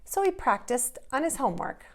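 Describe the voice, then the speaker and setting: A woman reading aloud and giving simple instructions to young children in an expressive way, as a preschool teacher. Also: sits high, brisk.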